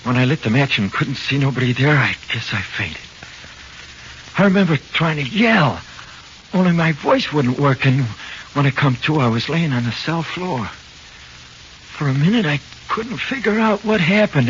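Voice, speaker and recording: A man's voice: medium pitch, fairly bright tone. A man with an American accent playing a tough-talking but easily frightened jailed crook in 1940s radio horror, slangy and tense. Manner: slangy, uneducated, tense delivery. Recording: muffled, hissy 1940s radio recording.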